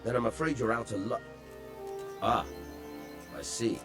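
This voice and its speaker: An actor playing a wary, sardonic fugitive with quiet menace in a video game cutscene. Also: male voice, mid-range voice, unhurried, natural voice. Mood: veiled threat. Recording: music behind the voice.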